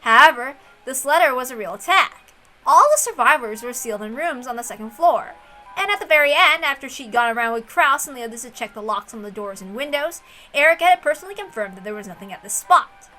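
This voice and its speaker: Female storyteller, high-pitched and bright, expressive scripted narration over light music. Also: fairly quick, rough recording. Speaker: visual novel reader and narrator. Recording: music under the voice.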